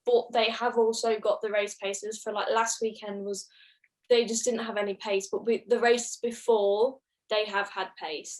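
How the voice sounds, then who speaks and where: A woman's voice: on the high side, poor audio. A woman chatting casually as a co-host of a motorsport podcast.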